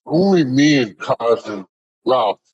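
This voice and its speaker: Bigfoot for an audio play, male actor: gentle, shy giant, slow broken speech, dry sound. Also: mid-range voice, expressive, husky, dry recording, dull audio. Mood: plain, earnest. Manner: broken, childlike grammar. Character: gentle simple-spoken Bigfoot, a shy giant wild creature.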